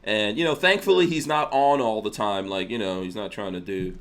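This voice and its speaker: A man's voice: fairly low pitch, fairly quick, a bit bright. Man hosting a casual podcast. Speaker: podcast host. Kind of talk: casual conversational podcast chat.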